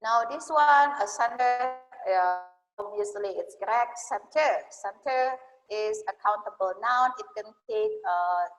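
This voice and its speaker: A woman's voice: a little high, measured pace, long reverb tail, soft background music, rough recording. A woman from Indonesia teaching English grammar in a webinar, explaining patiently with frequent fillers and self-corrections.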